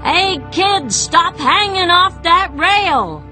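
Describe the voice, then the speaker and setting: Woman playing shrill, bright scolding sailor woman. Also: expressive, slow pace, faint music behind, exaggerated voice. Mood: exasperated scolding. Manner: scolding, shouting. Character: scolding female sailor yelling at children. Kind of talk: audiobook character acting.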